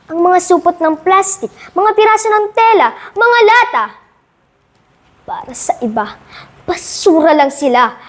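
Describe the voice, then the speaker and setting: A girl of about ten or eleven with a Filipino accent, delivering a rehearsed, dramatic monologue with emphatic, deliberate oratory. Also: sits high. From Philippines. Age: child, about 10 to 11 (fifth grade pupil). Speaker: student monologue performer.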